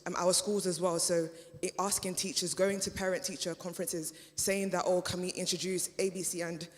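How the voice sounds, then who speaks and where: A woman who grew up speaking German gives a considered panel answer, pausing often on fillers. Her tone stays level and even throughout. The sound carries a long reverberant tail from a church hall. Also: lowish voice. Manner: measured, hesitant, many fillers.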